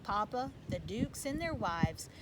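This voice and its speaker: A woman's voice: mid-range voice, big pitch swings, unhurried. A woman reading a children's storybook aloud as narrator. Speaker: reader of a children's book. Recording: loud background noise.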